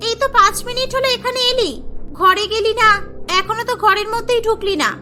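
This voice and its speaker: A woman's voice: very high-pitched, a little fast, pushed and bright. A woman voicing a boastful rich young woman who dotes on her brother, in melodramatic, emphatic cartoon style with music behind. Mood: fussing, pleading. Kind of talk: melodramatic cartoon story acting.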